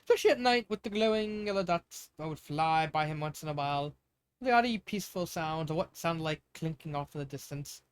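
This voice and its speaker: A man reads stories aloud in a casual, unpolished style. His voice sits at an ordinary pitch, and he speaks informally, halting and correcting his own slips as he moves along. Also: lively intonation, clean tone, no room sound. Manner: informal, halting, stumbles over words and corrects himself. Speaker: fanfiction reader, channel host. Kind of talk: casual read-aloud of fanfiction with off-script asides.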